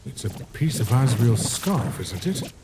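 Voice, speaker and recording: A man voicing a gentle, worried older skeleton monster brother in a comic dub, measured and thoughtful. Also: medium pitch, sing-song, heavy background noise.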